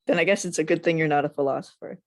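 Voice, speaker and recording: A woman acts out a sardonic teenage friend in a film drama read-through. The voice sits fairly low and sounds close and dry, with a teasing edge. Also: rapid-fire, no echo. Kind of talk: table read of a film script.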